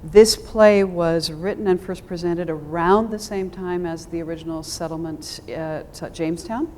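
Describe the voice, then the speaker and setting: A woman speaking as a guest theater director in a panel discussion, thoughtful and expressive, pausing as she thinks. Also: on the low side.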